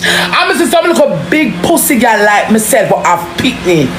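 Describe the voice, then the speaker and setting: A woman with a Jamaican accent in an impassioned, forceful street-style rant, cursing heavily and repeating phrases for emphasis. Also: on the high side, sing-song, moderate pace, over music. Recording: roomy echo, clearly noisy background.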